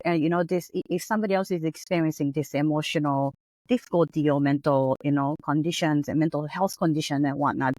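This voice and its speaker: A woman's voice: deep voice, darkish tone, no echo. A woman from Japan with a Japanese accent, a therapist guest on a podcast, speaking thoughtfully with many fillers and restarts. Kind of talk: podcast interview.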